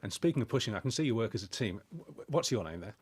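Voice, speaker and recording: A man's voice: fairly low pitch, very animated, racing, a little mellow, husky, no room sound. A man hosting a chatty live radio interview in a jokey, rambling, conversational way. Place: radio studio. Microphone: close studio microphone.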